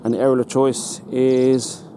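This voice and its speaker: A British man giving a casual hands-on demonstration as a hobbyist, in a halting, matter-of-fact way. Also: mid-range voice, level tone, mellow. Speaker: hobbyist presenter. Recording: noticeable background noise.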